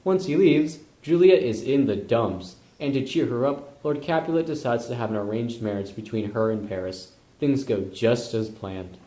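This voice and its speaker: Male narrator for comic puppet tale: mellow, mid-pitched, slangy scripted delivery, echoey room. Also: normal speed, hardly any pauses.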